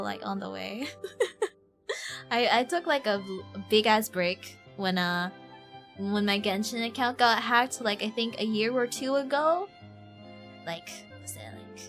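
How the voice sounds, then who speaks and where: A woman's voice: mid-range voice, bright, forceful tone. A young woman from Ireland chatting casually as she streams a game, informal and slangy, often self-deprecating. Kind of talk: casual live stream chat. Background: game music.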